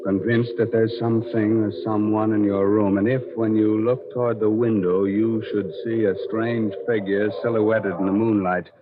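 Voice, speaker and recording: A man hosting a 1940s radio horror show in a theatrical, drawn-out, sardonic manner full of macabre wordplay. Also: lowish voice, little pitch movement, background noise. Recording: muffled 1940s radio broadcast.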